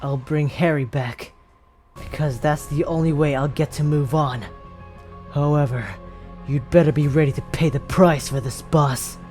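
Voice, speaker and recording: A woman playing a cocky, commanding retired judge of the underworld in an animated drama, switching between solemn and brashly sarcastic. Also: deep voice, normal speed, lightly acted. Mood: sardonic, defiant. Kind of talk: dramatic animated-story voice acting. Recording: music behind the voice.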